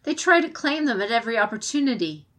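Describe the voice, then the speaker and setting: A woman reading the lines of an indignant, passionate female advisor in an audiobook, rising in loudness as she argues. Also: on the high side, very animated, quick pace, pitched above the performer's own voice. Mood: impassioned.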